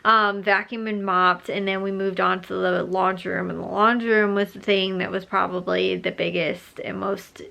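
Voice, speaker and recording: A woman's voice: medium pitch, flat delivery, moderate pace, gravelly, hardly any pauses, sharp tone. A young woman vlogger chatting casually, rambling with lots of fillers and restarts, a bit stuffed up. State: stuffed up nose. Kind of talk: casual vlog chat.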